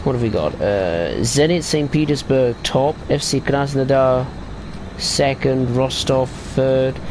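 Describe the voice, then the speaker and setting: A man's voice: medium pitch, takes it slowly, noisy background. A man casually chatting and rambling while streaming a train simulator game. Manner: chatty, rambling about football tables while driving. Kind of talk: casual gameplay commentary.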